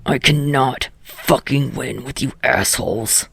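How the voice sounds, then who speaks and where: A woman's voice: a bass voice, a little slow, vocal fry, a bit bright, breathy edge, dry recording, pitched below the performer's own voice, heavily acted. A woman voicing a surly, sardonic, foul-mouthed teenage boy in a story reading, with a biting mutter. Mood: biting, irritated.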